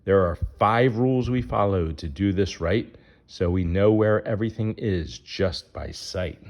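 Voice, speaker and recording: A man's voice: low voice, unhurried, a little mellow. A man reading a rhyming children's picture book aloud as its narrator.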